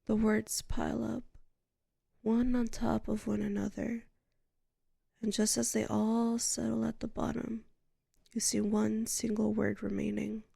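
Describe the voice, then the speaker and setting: A woman playing a soothing librarian hypnotist in a sleep ASMR roleplay, speaking softly and breathily in a half-whisper with lingering pauses. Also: a little high, level tone, creaky, husky, dry recording, studio quality, heavily acted. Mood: soothing, hypnotic. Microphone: close microphone.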